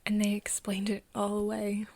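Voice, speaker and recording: A woman acting an earnest, wistful friend in an intimate ASMR roleplay, speaking softly and haltingly, often near a whisper. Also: medium pitch, completely flat delivery, no room sound. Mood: hurt, frustrated. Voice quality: soft, breathy, often whispery. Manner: hesitant, halting, pausing often.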